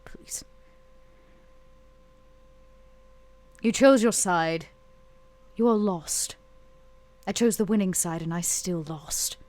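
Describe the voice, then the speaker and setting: Woman doing a proud bitter vampire lady for a tabletop rpg, lowish voice, guarded, clipped, lots of heavy pauses. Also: a little mellow, dry recording. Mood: bitter, defensive. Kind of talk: in-character tabletop roleplay.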